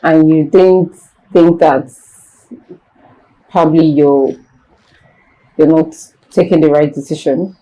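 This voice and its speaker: A woman's voice: low-pitched, steady tone, takes it slowly, long pauses, mellow, lo-fi sound. A woman with a Nigerian accent hosting a talk show, asking questions in a playful, teasing way. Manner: playful, teasing, slips into pidgin. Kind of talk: casual interview.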